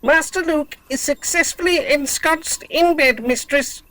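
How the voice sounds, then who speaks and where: A woman's voice: high voice, unhurried, crowd noise, poor audio. A woman voicing an obedient robot dog in an audio drama, formal, clipped and emotionless. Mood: dutiful.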